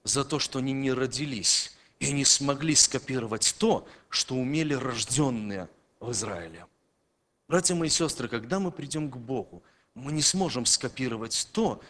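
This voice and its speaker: A man preaching a sermon to a congregation, emphatic, swinging between loud declarations and quiet, low passages. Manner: emphatic, rising and dropping in loudness.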